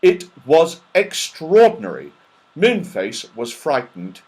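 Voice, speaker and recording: Male storybook narrator, fairly high voice, highly animated, expressive and dramatic delivery for children. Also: slow, breathy edge. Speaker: audiobook narrator.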